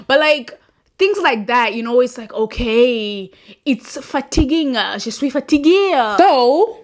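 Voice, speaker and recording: A woman's voice: high voice, animated, slow. A young woman, a non-native English speaker, hosting a chatty, rambling commentary and laughing at her own points. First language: not English. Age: young adult. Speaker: host. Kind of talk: casual commentary monologue.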